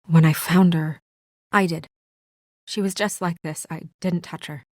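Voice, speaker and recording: A woman voice actor playing an earnest, composed young woman official in a video game, speaking in a measured, serious, restrained way. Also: on the low side, steady tone, no echo, studio quality. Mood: tense, pleading. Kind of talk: naturalistic video game dialogue.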